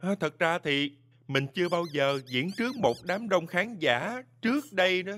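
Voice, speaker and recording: An actor voicing a shy, earnest little theatre truck who dreams of performing, polite and expressive, in a cartoon dub. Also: medium pitch, normal speed, natural voice. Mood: hesitant.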